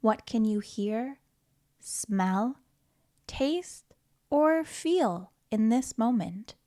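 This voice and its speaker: A woman reading a children's book aloud as a storytime host, in an expressive, playful manner with questions to the listener and dramatic pauses. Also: a little high. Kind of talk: animated children's read-aloud.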